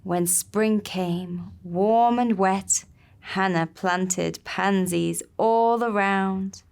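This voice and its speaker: A woman narrating a children's puppet-show story in a gentle, unhurried storybook manner. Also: medium pitch, vocal fry, smooth.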